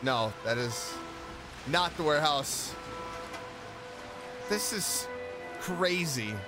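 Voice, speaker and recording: Male gamer, high voice, animated intonation, excitable reaction commentary, thinking aloud, game music behind. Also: very slow. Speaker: gaming video host. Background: game background music.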